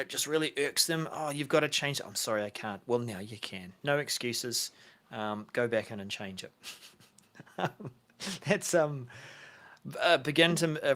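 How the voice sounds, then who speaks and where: A male co-host speaks in a middle-pitched voice on a live tech show. His style is casual and explanatory, informally walking the audience through each update. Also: fairly bright tone.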